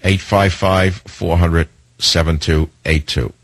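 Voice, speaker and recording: A radio talk show host, a man, delivering an indignant monologue full of rhetorical questions, slowing down to hammer his points. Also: low voice, fairly even intonation, a bit bright, slightly rough, no echo. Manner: slows down to hammer lists and key phrases.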